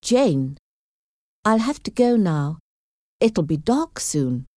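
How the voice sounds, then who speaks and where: A sensible, cautious young woman from a classic storybook, played by a woman narrator. She speaks frankly and protectively in a mellow voice, taking her time. The recording is very dry. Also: on the low side, big pitch swings, slow pace, no room sound. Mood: gentle.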